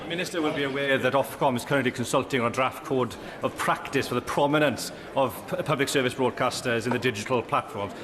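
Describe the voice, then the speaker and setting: A man asks a formal question at a rapid clip, his voice sitting in the middle range. A crowd murmurs around him in the chamber. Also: medium pitch. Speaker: member of parliament. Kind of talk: parliamentary question.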